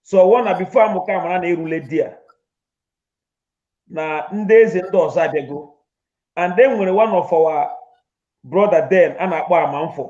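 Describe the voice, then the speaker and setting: A man's voice: high voice, moderate pace, long pauses, a little mellow. A man with a Nigerian accent, interviewed as a community leader and telling local history, mixing Igbo and English. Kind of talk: casual storytelling interview. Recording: dull lo-fi recording.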